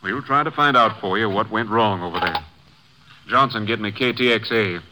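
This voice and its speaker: An actor in a 1950s radio drama playing a calm, authoritative Texas Ranger captain, measured and reassuring, on an old, faintly noisy recording. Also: male voice, on the low side, lightly acted. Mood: firm, patient.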